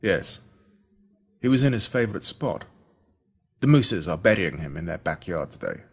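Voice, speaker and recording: A man's voice: deep voice, very animated, normal speed, husky, voice pushed down, exaggerated voice. An Australian man playing a sober, matter-of-fact suburban father in a storybook, breaking bad news. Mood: sombre. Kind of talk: storybook character voice.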